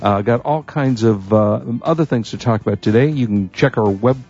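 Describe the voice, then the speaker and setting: Low, gravelly American man, muffled sound. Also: a little mellow, soft background music. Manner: halting, wordy, repeats himself. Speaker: radio show host. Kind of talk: casual co-hosted radio chat.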